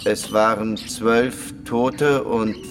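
A man playing a simple village prisoner confessing in a deadpan, halting, matter-of-fact way in a radio crime drama. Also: lowish voice, background noise. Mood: flat confession. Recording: reverberant cell, music behind.

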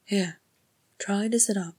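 A gentle dwarf woman from a storybook, voiced by a female reader. She coaxes and soothes, breathy and unhurried. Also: mid-range voice, pitched above the performer's own voice. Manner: gentle, coaxing.

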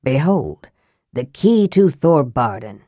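A woman voicing a cold, clever young male wizard who speaks low, dry and curt. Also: big pitch swings, slow pace, dark tone, dry recording, full character voice. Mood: cool.

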